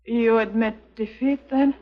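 A woman's voice: on the high side, fairly even intonation, a little slow, close to an everyday voice. An actress playing a cool, seductive female spy in a 1950s television drama, coy and a little hesitant. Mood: coy, probing. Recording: dull 1950s TV film soundtrack.

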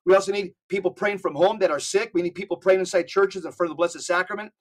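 High-voiced man exhorting listeners. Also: steady tone. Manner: urgent, exhorting, rapid lists. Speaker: podcast guest. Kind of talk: casual podcast talk.

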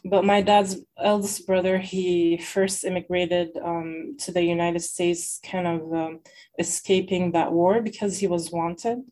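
A woman's voice: fairly low pitch, fairly even intonation, unhurried, dark tone. A young woman raised in Lebanon, talking as a guest in a casual interview, hesitant and full of fillers. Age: young adult.

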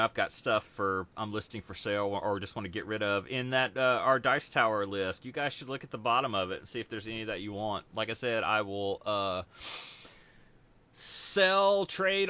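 Bright-voiced rambling podcast host. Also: male voice, on the low side, normal speed, muffled recording. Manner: rambling, relaxed, many fillers. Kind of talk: casual chat.

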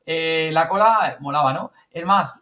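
A man with an accent from Spain telling anecdotes in casual, slangy, excitable talk, acting out bits of dialogue. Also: a little high, normal speed, a loud crowd. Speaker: host and project member.

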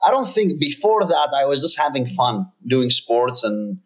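A man's voice: mid-range voice, big pitch swings, normal speed. A man in his thirties, originally from Greece, a company founder talking earnestly and emphatically as a guest, often restarting his sentences. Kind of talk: casual interview talk.

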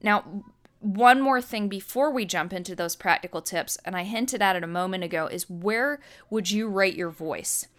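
A woman's voice: medium pitch, normal speed, fairly bright tone. A middle-aged American woman hosting a podcast, explaining in an earnest teacher's manner and repeating words for emphasis. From United States. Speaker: podcast host and birth educator. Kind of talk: teaching monologue.

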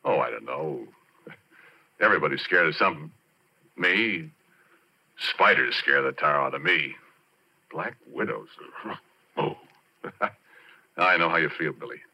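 An actor in a 1940s radio drama playing an easygoing, rough-and-ready oil driller who talks in folksy, colloquial style. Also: male voice, very deep voice, expressive, unhurried, long pauses, bright, forceful tone, exaggerated voice. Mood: reassuring. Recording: muffled 1940s radio recording.

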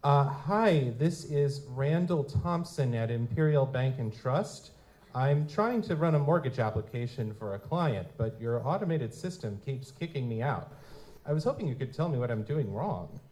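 A man's voice: mid-range voice, lively intonation, moderate pace, a little mellow, natural voice. A man playing a wry, wisecracking goblin private eye in a hard-boiled noir audio drama. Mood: feigned hesitance. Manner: wry, feigns hesitant politeness. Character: wisecracking male lutin goblin private investigator, narrating noir-style. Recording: noisy live stage recording.

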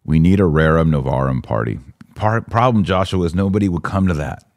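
A man's voice: low voice, expressive, fairly quick, a little mellow, no echo, studio quality. An American man hosting a live podcast, chatting casually and informally as he responds to listener comments. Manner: informal slangy talk. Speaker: podcast host.

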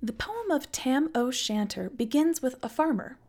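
A woman podcast host giving measured scripted narration and reading a poem aloud. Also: sits high, big pitch swings, darkish tone.

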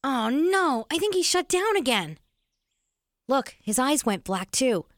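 A woman's voice: sits high, very animated, a little slow, no echo, studio quality. A woman playing a practical, sceptical young boy in a family audio drama.

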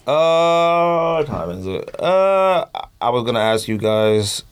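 A man's voice: medium pitch, big pitch swings, slow, clear, resonant tone. A man co-hosting a podcast in casual, slangy, colloquial conversation. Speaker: podcast co-host. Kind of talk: casual sneaker podcast chat.

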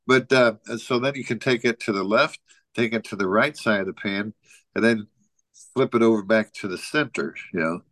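A man's voice: fairly low pitch, moderate pace, a bit bright, dry recording. A man co-hosting a casual talk show, telling an anecdote in a rambling way with lots of "you know" and frequent chuckles. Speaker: podcast co-host.

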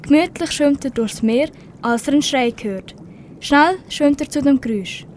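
A woman's voice: high voice, normal speed, darkish tone, a little hiss or hum. A teenage girl reading scripted story narration for an amateur radio play.

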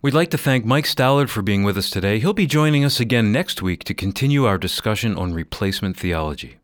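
A man announcing a scripted radio program outro in a clear, deliberate, polished delivery. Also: lowish voice, expressive. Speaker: radio announcer and executive producer.

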